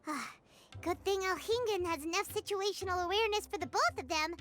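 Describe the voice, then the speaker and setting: A woman voicing a tiny, childlike fairy companion in a video game, chirpy, cheeky and excitable. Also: extremely high pitch, brisk. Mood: exasperated, excited. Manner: chirpy, exclamatory. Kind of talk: video game character acting. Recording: music playing behind.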